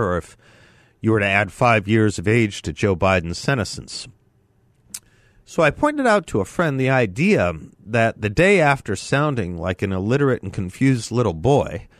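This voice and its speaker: Measured radio host with a slight head cold. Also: male voice, mid-range voice, no room sound, studio quality. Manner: measured, deliberate, lecturing. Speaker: radio talk show host. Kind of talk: opinion monologue on talk radio. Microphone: studio microphone.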